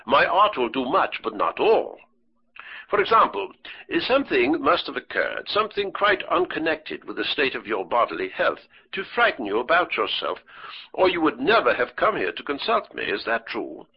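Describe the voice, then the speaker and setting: A man reading an audiobook, voicing a composed, proud physician who speaks in a measured, formal way. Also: mid-range voice, huge pitch swings, breathy edge, highs cut off, heavily acted. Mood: probing.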